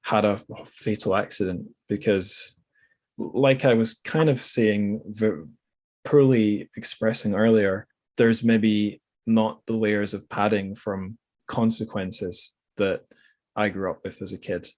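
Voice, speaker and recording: A man with a slightly low voice hosts a bookish podcast. He talks casually and thoughtfully, feeling his way through ideas and rephrasing mid-sentence. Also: steady tone, takes it slowly. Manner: hesitant, reflective, self-correcting. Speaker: podcast host. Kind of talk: casual literary podcast discussion.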